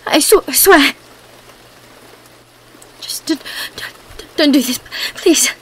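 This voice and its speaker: A woman's voice: sits high, takes it slowly. A woman playing a cunning female vampire who feigns weakness, in an ASMR roleplay. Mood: desperate, pleading.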